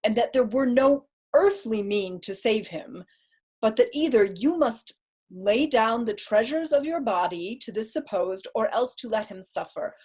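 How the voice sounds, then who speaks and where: Woman playing a cold scheming judge in shakespeare, husky voice, formal n probing, keeps pushing. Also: fairly high pitch, lively intonation, a little slow, a little mellow. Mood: calculating, insistent. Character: cold, calculating male deputy judge, coercive and insinuating. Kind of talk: Shakespearean staged reading.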